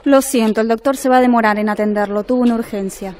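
An actress playing a polite, apologetic clinic receptionist in an audio drama. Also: female voice, on the high side, fairly even intonation, fast, darkish tone, a little hiss or hum, lightly acted. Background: music.

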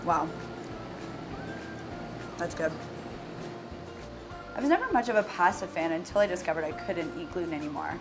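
A woman's voice: lowish voice, expressive, normal speed, pauses often, music in the background. A young adult woman hosting a cooking show, asking questions in a casual, upbeat way in a restaurant kitchen. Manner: upbeat, brief encouraging replies. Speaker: show host. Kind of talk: casual interview.

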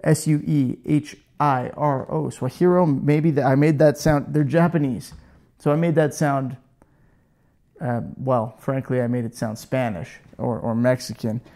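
A man's voice: medium pitch, fairly even intonation, measured pace, dark tone. A man in his thirties hosting a casual woodworking demo in his garage workshop, chatty and self-joking, on a lapel mic. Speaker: woodworking channel host.

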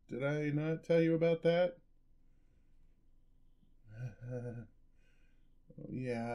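A man playing a gentle, sheepish, affectionate headless horseman boyfriend in a soft-spoken ASMR roleplay, with hesitant delivery. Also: lowish voice, vocal fry. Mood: tender. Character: gentle, clumsy male dullahan boyfriend, centuries old. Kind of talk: ASMR roleplay, intimate acted monologue.

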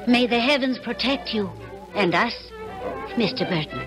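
A woman in a 1970s radio drama playing a bold, shrewd stage actress of the Confederate era, poised and deliberate in her delivery. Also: on the high side, expressive, lightly acted. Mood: charming, coquettish. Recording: vintage radio drama with music.

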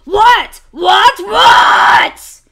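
A woman's voice: sits high, sing-song, slow, pitched above the performer's own voice, full character voice. Woman growling as a greedy, bossy fox. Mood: shouting. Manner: loud, growling demands.

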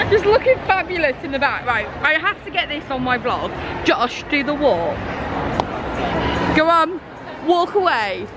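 A high-voiced young woman chats excitedly into a camera at arm's length, a little hoarse from a long day. Loud crowd chatter and constant noise fill the big convention hall behind her. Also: unhurried, long pauses. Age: young adult. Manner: chatty, excitable, laughing often. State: losing her voice. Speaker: vlogger. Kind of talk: casual vlog chat. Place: large busy convention hall, indoors. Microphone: handheld camera at arm's length.

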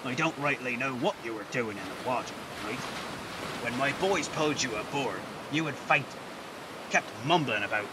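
An actor playing a genial, folksy ship captain in a fantasy game dub. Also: male voice, medium pitch, distant mic, noisy background, close to an everyday voice. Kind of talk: video game character dub.

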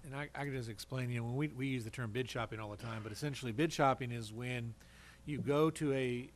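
Medium-pitched man explaining carefully, faint noise. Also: fast talker. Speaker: adviser to a city council. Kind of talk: explanatory talk in a public meeting.

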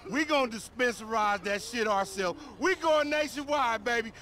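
High-pitched, brash American street hustler. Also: male voice, normal speed, slight background noise. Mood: proud, excited. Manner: loud, animated, swaggering, slangy. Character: brash, boastful young street hustler promoting his weed brand. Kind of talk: video game cutscene acting.

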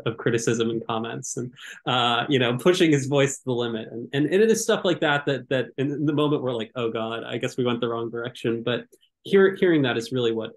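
Gravelly young man on a headset. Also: mid-range voice. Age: young adult. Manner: animated, gesturing, many repeated false starts. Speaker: curatorial fellow. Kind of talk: panel conversation on a video call.

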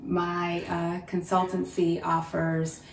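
Low, gravelly woman in her forties. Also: monotone, slow, a little hiss or hum. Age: 40s. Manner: witty, laughing, fluent business talk. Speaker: guest, consultant, mother. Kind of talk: casual Q&A chat. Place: living room, on a couch. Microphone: camera mic, from a distance. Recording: roomy, a bit distant.

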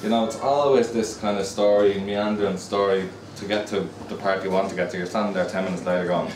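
Dublin fiddler in his 30s, low voice, chatty and rambling, echoey pub recorded from far off. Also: steady tone, fast, noisy background. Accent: Dublin Irish. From Ireland. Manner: chatty, rambling, repeats words. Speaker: fiddle player. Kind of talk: casual storytelling between tunes. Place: busy pub, indoors. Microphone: far camera.

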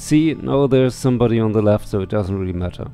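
A man's voice: fairly low pitch, quick pace, warm tone. A middle-aged man doing casual let's play commentary and reading on-screen game text aloud. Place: small room at home, indoors. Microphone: headset microphone. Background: faint game music.

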